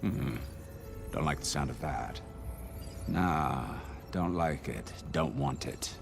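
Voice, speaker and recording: A man's voice: rumbling low, slow pace, gravelly, full character voice. An actor playing a haughty, imperious male villain in a video game, coolly dismissive and dry, with pompous clipped phrases. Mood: cold disdain. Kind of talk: cinematic video game acting. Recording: long hall reverb under music.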